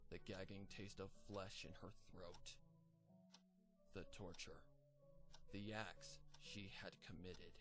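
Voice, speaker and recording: A man narrating a dark fan story in a measured, grim storytelling manner over background music. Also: sits low, level tone. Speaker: fanfiction narrator. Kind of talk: dramatic story reading.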